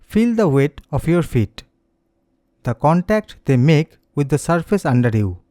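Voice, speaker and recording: Mellow, close-miked man guiding meditation. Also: a little high, big pitch swings, dry recording. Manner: gentle, soothing, unhurried instructions. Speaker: meditation instructor. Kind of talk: slow guided meditation, scripted narration.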